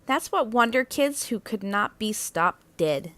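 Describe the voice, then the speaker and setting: A woman reading a children's picture book aloud as narrator, with expressive, emphatic phrasing that stretches out key words. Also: on the high side, a little slow, dry recording.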